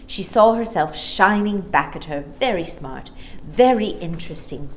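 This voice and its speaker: A woman reads a children's story aloud with an animated, expressive delivery. Her voice sits a little low and moves widely in pitch, over faint background noise. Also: measured pace, low-quality recording.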